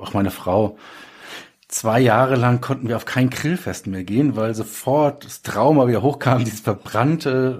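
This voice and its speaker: A man's voice: mid-range voice, a little mellow, breathy edge. A man casually telling personal stories as a guest author, correcting himself as he goes. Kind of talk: casual anecdotal storytelling.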